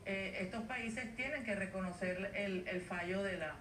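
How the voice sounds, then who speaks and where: A woman guest on a TV news interview, arguing her case in an earnest, measured way over a video call. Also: medium pitch, fairly bright tone. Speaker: guest advocate. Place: home studio via video link. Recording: roomy, distant, faint noise, played off a TV.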